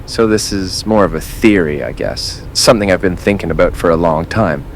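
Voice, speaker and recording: Casting: male actor as earnest conspiracy caller, very low voice, faint noise. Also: moderate pace. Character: conspiracy-minded first-time man caller.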